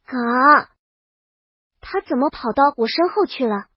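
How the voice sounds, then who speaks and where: A woman's voice: high-pitched, expressive, measured pace, breathy edge, dry recording, muffled recording. A woman reading a scripted first-person comic story as its brash, exasperated heroine. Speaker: audio story narrator.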